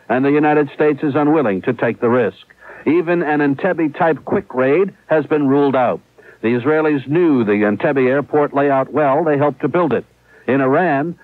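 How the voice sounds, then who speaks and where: A man's voice: mid-range voice, dry recording. A male radio correspondent delivers a scripted report with emphatic, clipped phrasing. The sound is dry and narrow, like an old broadcast.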